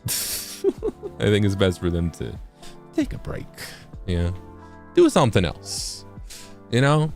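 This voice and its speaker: Male game streamer: low voice, animated intonation, casual, playful, self-deprecating commentary. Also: slow, vocal fry, long pauses, soft background music. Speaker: live streamer playing a visual novel. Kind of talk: casual gaming stream, reading dialogue aloud with commentary.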